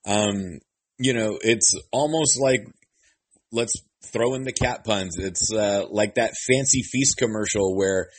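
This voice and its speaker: A man's voice: on the low side, slow pace, slightly rough. A male interviewer asking long, rambling questions full of fillers. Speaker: entertainment interviewer. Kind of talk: press interview.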